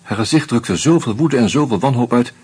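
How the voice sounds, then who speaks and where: A man's voice: mid-range voice, animated, a little fast, darkish tone, husky. An actor playing Hans, a bitter, drunk man who narrates and sardonically confronts a murderer in a radio play. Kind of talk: first-person radio drama narration.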